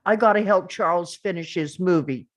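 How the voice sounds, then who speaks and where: A woman's voice: fairly low pitch, normal speed, natural voice. A woman reading an awkward, earnest young teenage boy in a screenplay table read, with a hesitant manner. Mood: protesting.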